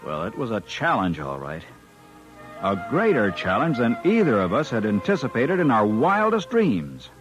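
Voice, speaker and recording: A man's voice: on the low side, wildly swooping pitch, normal speed. An actor playing a confident, wry Earthman scientist in an old-time radio drama, with a casual, self-assured delivery. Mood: reflective narration. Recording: vintage radio drama with music.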